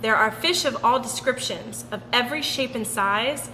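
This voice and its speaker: A woman reads a children's story aloud in a high, bright voice. Her delivery is expressive and sing-song, and it carries a long echoing reverb. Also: normal speed. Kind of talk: expressive picture-book read-aloud for children. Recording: roomy long reverb with background noise.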